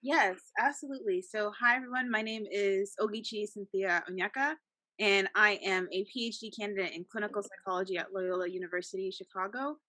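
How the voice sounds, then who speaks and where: A woman guest from the United States, a psychology doctoral student, speaking in an articulate, measured way with occasional fillers. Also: mid-range voice, fairly even intonation, pushed and bright, dry recording. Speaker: guest, psychology PhD candidate. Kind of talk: casual panel conversation.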